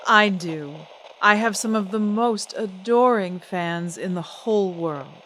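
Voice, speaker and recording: An actress playing a proud, guarded singer and stage star, theatrical and poised in an old-time radio drama style. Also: female voice, mid-range voice, measured pace, a bit bright, slight background noise, lightly acted, rough recording. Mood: gracious. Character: proud, guarded female singer-actress, a glamorous celebrity diva. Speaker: celebrity interview guest.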